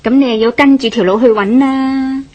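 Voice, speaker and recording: A woman's voice: sits high, moderate pace, dull audio, close to an everyday voice. A woman playing a pushy, poor companion in a radio drama, exclaiming and goading in Hong Kong Cantonese. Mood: urging.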